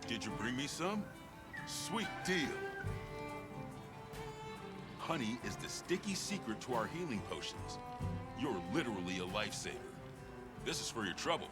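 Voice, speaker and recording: Man acting a lively chummy game merchant. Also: mid-range voice, moderate pace, close to an everyday voice. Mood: friendly, grateful. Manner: chummy, casual salesman patter. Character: friendly, eager male camp provisions merchant. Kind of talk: video game character dialogue. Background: loud game music.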